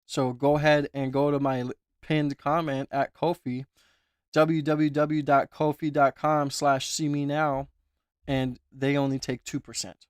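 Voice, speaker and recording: A man's voice: fairly high pitch, little pitch movement, unhurried, clear, resonant tone, no room sound, clean studio sound. A man hosting his own livestream with a sore throat, chatting casually and reading viewer comments aloud. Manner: chatty, asks for likes and reads chat aloud. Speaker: livestream host.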